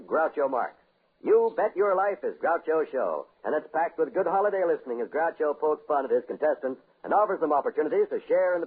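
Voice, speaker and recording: Vintage American male announcer, fairly high husky voice, scripted promos, tinny narrow-band recording. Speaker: radio announcer. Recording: muffled phone-like 1950s radio recording.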